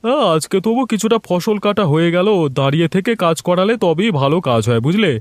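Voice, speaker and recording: A man's voice: high voice, expressive, fast, no echo. A man playing an affectionate, coaxing husband in a cartoon moral story. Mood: cheerful. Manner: coaxing, appreciative. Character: affectionate husband coming home, placating his wife.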